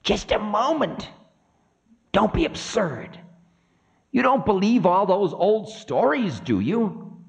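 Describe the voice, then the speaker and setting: Cruel spirit of Time, very high shrill voice, menacing, taunting, swooping delivery, mythic tale, slightly muffled recording. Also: male voice, sing-song, takes it slowly, vocal fry, long pauses, exaggerated voice. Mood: mocking. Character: Kala, cruel male spirit of Time, threatening and indignant. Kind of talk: dramatic oral storytelling of myth.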